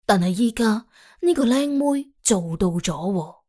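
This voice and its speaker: A woman narrating an audiobook in colloquial Cantonese, telling the story in an expressive, wry way. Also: mid-range voice, measured pace. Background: faint background music.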